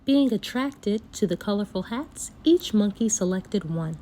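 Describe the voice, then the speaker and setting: A woman storyteller reading a folktale aloud for children, with expressive, deliberate phrasing. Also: medium pitch.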